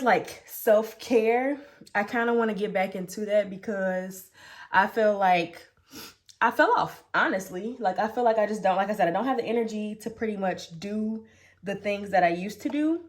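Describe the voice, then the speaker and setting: A young woman with a congested, nasal voice talks casually to her vlog viewers. She is pregnant and rambles, piling up fillers and half-finished thoughts. She works oil into her hair at her vanity at home as she talks. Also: medium pitch. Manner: rambling, filler-heavy, frequent "like" and restarts. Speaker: beauty vlogger. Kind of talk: casual chat while doing her hair. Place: home vanity room, indoors.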